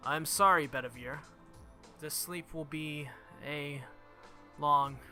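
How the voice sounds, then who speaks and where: A man voicing a dying noble monarch from a visual novel, solemn, gentle and formal. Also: fairly high pitch, slow, exaggerated voice. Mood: weary, solemn. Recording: music behind the voice.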